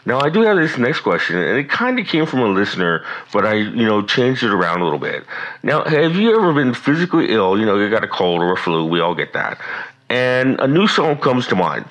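A man's voice: mid-range voice, very animated, brisk, fairly bright tone, rough recording. A man hosting an interview, asking questions in an easygoing way and chiming in with short affirmations. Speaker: radio interview host.

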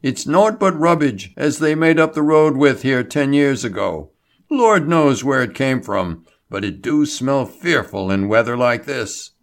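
A man doing a rough-spoken road foreman. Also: mid-range voice, expressive, unhurried, pitched above the performer's own voice. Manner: rough working-class dialect speech. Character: male road-gang foreman, plain working man.

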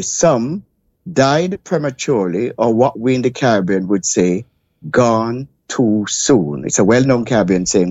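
An older man from Jamaica, a guest in an interview, recounting his childhood in a measured, reflective way. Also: on the low side, darkish tone, no room sound, muffled recording. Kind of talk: interview storytelling.

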